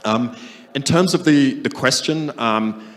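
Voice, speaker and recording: A man's voice: medium pitch, takes it slowly, fairly bright tone. Man moderating in a reverberant hall. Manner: hesitant, many fillers and restarts. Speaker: panel moderator. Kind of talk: conference panel moderation. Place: conference hall. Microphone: handheld microphone.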